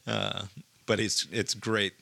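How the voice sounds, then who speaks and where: A man's voice: fairly low pitch, level tone, takes it slowly, vocal fry, sharp tone, no room sound. A man in casual conversation, talking in a reflective, musing way. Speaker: participant in a group conversation. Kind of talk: casual chat.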